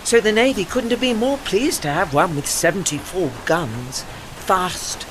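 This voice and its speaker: An actor voicing a proud, ageing warship in an audio play, with formal, dry, witty and faintly pompous diction. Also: male voice, sits high, measured pace, background noise.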